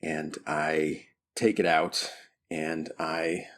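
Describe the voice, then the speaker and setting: A man's voice: rumbling low, level tone, very slow, a bit bright. A man playing a tabletop roleplaying game, talking casually as he describes his character's actions. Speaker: player in an audio roleplaying show.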